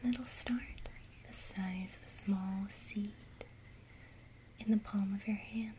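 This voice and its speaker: A woman teaching a witchcraft lesson in a soft, unvoiced ASMR whisper, guiding the listener gently and speaking straight to them. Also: mid-range voice, fairly even intonation, a little hiss or hum. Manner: slow, soothing, intimate, addresses the listener directly. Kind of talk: whispered ASMR guided visualization.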